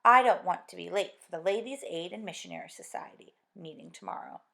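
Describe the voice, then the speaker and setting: A woman's voice: lowish voice, fairly quick, creaky, fairly bright tone. A woman reading aloud and voicing a cross, thrifty middle-aged housewife who worries about money, talking sharply and complaining.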